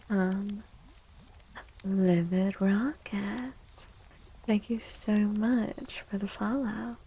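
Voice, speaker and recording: A woman streamer chatting in soft-spoken ASMR style, gentle and unhurried, often slipping into a whisper. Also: mid-range voice, vocal fry, a little hiss or hum, low-quality recording. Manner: unhurried, gentle, pausing between short phrases. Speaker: livestream host.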